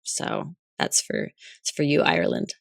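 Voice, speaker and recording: Hoarse low-voiced woman podcaster. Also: huge pitch swings, a little slow, no echo, studio quality. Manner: chatty, laughs often, rambling restarts. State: hoarse, recovering lost voice. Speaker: podcast co-host. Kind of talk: casual chat.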